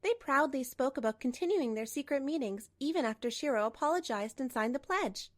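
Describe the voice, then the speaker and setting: A woman's voice: sits high, expressive, brisk. A woman reading a scripted first-person drama narration for a manga story dub. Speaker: narrator and lead voice actor.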